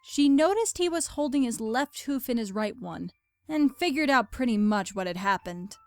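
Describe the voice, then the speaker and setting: A woman's voice: fairly high pitch, lively intonation, moderate pace, dry recording. A woman reading scripted story narration as the narrator of an audio drama.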